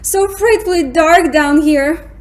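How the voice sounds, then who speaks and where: A woman's voice: extremely high pitch, slow, a little mellow. A woman playing an impressionable, anxious young lady in a radio drama, with a plaintive, dramatic way of speaking. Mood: frightened. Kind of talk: stage-like radio drama acting.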